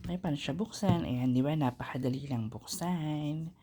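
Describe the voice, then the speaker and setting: A woman's voice: sits low, moderate pace, mellow. A woman with a Filipino accent chatting casually as a vlogger in an unboxing video, switching between two languages. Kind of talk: casual unboxing vlog chat, mixing Tagalog and English. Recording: clearly noisy background.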